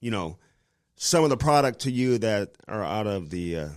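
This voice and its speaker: A man's voice: lowish voice, animated, normal speed, no echo, studio quality. A man co-hosting a podcast, rambling through ad reads and casual chat with lots of fillers, sounding a little nasal from a cold. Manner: rambling, filler-heavy delivery. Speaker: podcast co-host.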